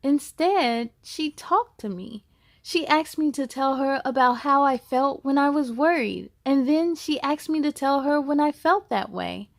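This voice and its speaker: A woman with a high voice hosts a storybook read-aloud for children. She speaks encouragingly, addressing her young listeners directly. The sound is dry, with very little room echo. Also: animated, unhurried, gravelly. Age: adult.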